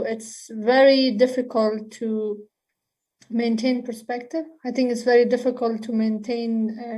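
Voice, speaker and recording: A woman from Afghanistan speaking on a panel over a video call, measured and hesitant. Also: on the high side, dark tone. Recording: distant webcam audio.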